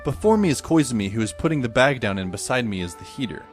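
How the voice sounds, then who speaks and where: A man's voice: medium pitch, animated, fast talker, faint music behind, close to an everyday voice. A man playing a wry, put-upon teenage boy, narrating in the first person for an audio drama. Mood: wry, observant.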